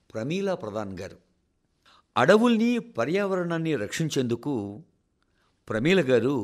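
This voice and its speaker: Expressive man reading a radio address. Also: on the high side. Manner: measured, rhetorical, addressing listeners directly. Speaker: radio presenter reading a translated national address. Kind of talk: formal scripted radio address.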